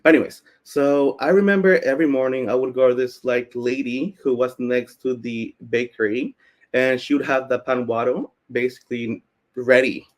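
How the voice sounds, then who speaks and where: A man's voice: mid-range voice, fairly even intonation, normal speed. A man from Peru, a native Spanish speaker, giving an informal storytelling presentation in a conversational way, peppered with filler words like "basically". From Cusco, Peru. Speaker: speaker, community founder presenting.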